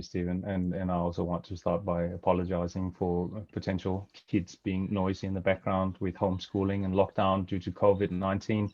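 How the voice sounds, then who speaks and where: Deep-voiced Danish man on a video call. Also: warm tone, no room sound. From Denmark. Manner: hesitant, many fillers and restarts. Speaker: webinar guest speaker. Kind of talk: webinar presentation. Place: home office, indoors. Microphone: headset. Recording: dry, dull video-call audio.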